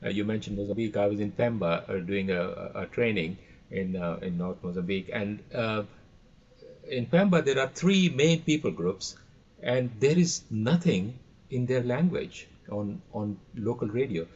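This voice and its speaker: A man sharing anecdotes conversationally in a halting way, repeating words, on dull lo-fi audio. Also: lowish voice, animated, a little mellow, husky. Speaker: podcast guest, radio trainer.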